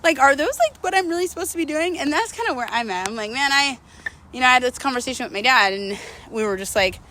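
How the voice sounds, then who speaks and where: Bright-voiced woman chatting candidly, slightly breathless. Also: high voice, animated, fast, a little hiss or hum. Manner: candid, self-mocking, talks in asides and rhetorical questions. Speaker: vlogger. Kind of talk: casual confessional chat to viewers.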